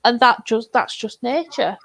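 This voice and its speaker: A woman's voice: on the high side, measured pace, gravelly, a bit bright. A woman hosting a casual group chat, asking thoughtful questions with frequent restarts.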